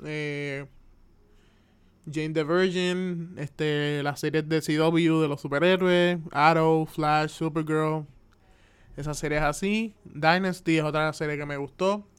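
Man, 20s, Puerto Rican accent, podcast host, casual chat, very smooth voice, slightly high. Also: steady tone, slow pace. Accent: Puerto Rican Spanish. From Puerto Rico. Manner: chatty, with frequent "este" and "pues" fillers. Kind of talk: casual solo podcast monologue.

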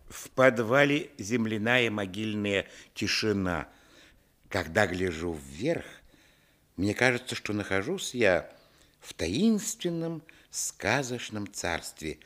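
A man playing a wistful grown narrator looking back on his village childhood, with expressive literary storytelling in a radio play. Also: on the low side, takes it slowly. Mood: wistful, dramatic.

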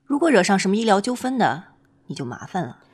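A woman's voice: mid-range voice, very animated, fast, slightly rough. A woman playing a detached, pragmatic senior woman doctor who gives matter-of-fact advice in an amateur radio drama. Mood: brisk, pragmatic.

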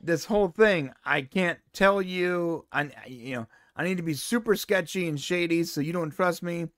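A man co-hosting a podcast, giving an opinionated, animated review in casual chat. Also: on the high side, normal speed, no echo, clean studio sound. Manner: animated, opinionated, exasperated asides. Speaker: podcast co-host.

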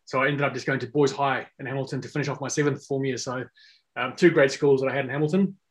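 Relaxed man, casual. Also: mid-range voice, fast. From South Africa. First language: Afrikaans. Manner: rambling, many fillers and restarts. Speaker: guest. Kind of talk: casual podcast chat.

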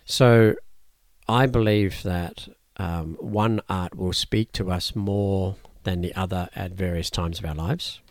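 A man whose first language is Cantonese, a martial arts teacher explaining his teaching in a thoughtful interview, often pausing and restarting. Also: low-pitched, fairly even intonation, no echo. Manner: measured, thoughtful, with frequent fillers and restarts. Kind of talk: conversational interview answers.

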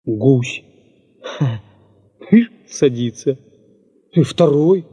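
An actor playing an eager, wide-eyed, dreamy young field worker in a muffled old Soviet radio play. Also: male voice, mid-range voice, expressive, drawn-out words, warm tone, husky, full character voice. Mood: hushed wonder.

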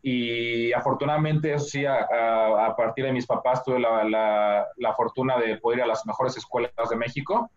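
A man from Mexico City with a Mexican accent, a guest telling his life story in a relaxed interview, full of filler words. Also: medium pitch, moderate pace, hardly any pauses. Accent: Mexican Spanish. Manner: many fillers like "este" and "¿no?". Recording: dull lo-fi audio.

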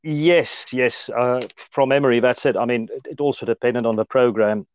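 A man in his thirties from South Africa with an Afrikaans accent, speaking as an interview guest in a relaxed, hesitant way with many fillers. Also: medium pitch, moderate pace, clean tone, lo-fi sound. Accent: Afrikaans-accented South African English. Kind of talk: casual conversational interview.

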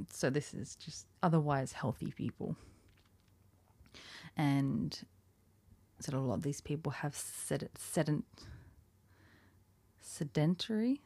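A woman's voice: low-pitched, vocal fry, a little mellow, no echo. A woman in her twenties hosting a casual solo podcast, chatty and self-deprecating, often laughing at herself. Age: 20s. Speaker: podcast host.

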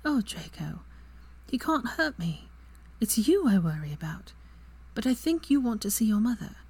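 Woman reading as an eager young witch, raised voice, lively, husky, soft whispers. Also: on the high side, normal speed, pitched above the performer's own voice, full character voice. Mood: affectionate. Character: bright eager young witch, quick and warm.

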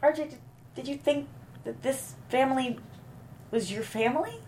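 A woman's voice: high voice, moderate pace, a little mellow. A woman playing a stressed, nervous wife and mother in a comedy table read. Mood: anxious, weary.

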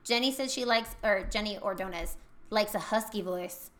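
A woman's voice: mid-range voice, normal speed, a bit bright. A young woman co-hosting a podcast, chatting candidly, reading out listener replies and swearing freely. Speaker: podcast co-host. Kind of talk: casual chat.

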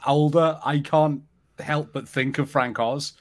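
A man's voice: on the high side, fairly even intonation, measured pace. A man giving casual, thinking-aloud film commentary as a panelist among friends, with critical reservations. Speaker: podcast panelist.

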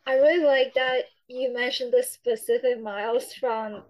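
A woman's voice: fairly high pitch, steady tone, a little slow, poor audio. A young woman hosting a podcast, asking questions in a hesitant way full of fillers. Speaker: podcast host. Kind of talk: podcast interview.

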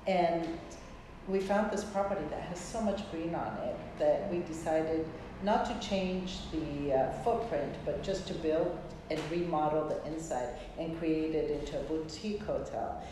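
Low-pitched woman in a very echoey room. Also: normal speed. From Greece. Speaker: interview guest, hospitality entrepreneur. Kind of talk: conversational interview talk. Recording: echoey room, distant mic, faint noise.

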